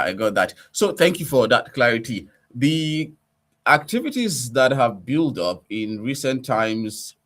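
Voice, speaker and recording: A male radio host with a Nigerian accent speaks in a fairly low voice. He presents in a measured, formal way, setting out questions for a guest. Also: a bit bright. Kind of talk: broadcast interview, formal questions.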